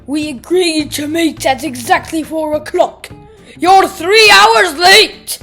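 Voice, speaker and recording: A woman's voice: very high voice, takes it slowly, sharp tone, soft background music, exaggerated voice. A woman voicing an angry, grudge-holding male grape who scolds indignantly in a children's storybook. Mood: furious.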